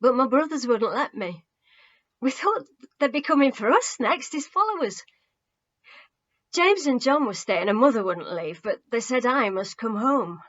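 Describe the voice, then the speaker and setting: A woman voicing an anguished, guilt-ridden young man in an audiobook, halting and emotionally raw. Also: fairly high pitch, expressive, fairly bright tone, highs cut off. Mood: distressed, regretful. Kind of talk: audiobook character acting.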